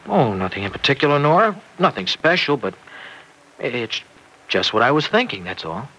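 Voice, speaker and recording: An actor playing an earnest, anxious young farmer husband in an old-time radio drama, with a halting, tender way of talking. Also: male voice, medium pitch, big pitch swings, pushed and bright. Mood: evasive, weary. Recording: noisy vintage radio recording.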